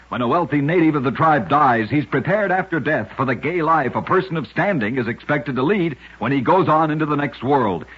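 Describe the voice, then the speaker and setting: Male radio host, raspy and lively in pitch, scripted oddity narration, emphatic storyteller read, muffled recording.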